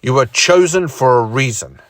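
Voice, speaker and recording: A man voicing a stern older male time traveller who explains things in a steady way. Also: medium pitch, moderate pace, dry recording, studio quality, close to an everyday voice.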